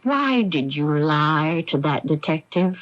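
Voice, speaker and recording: Slow, earnest middle-aged sister. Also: female voice, low-pitched, expressive. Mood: earnest, reproachful. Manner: earnest, hesitant, deliberate. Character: middle-aged stay-at-home sister, earnest, timid, morally upright. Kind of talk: 1980s radio mystery acting. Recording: dull, lo-fi radio broadcast recording.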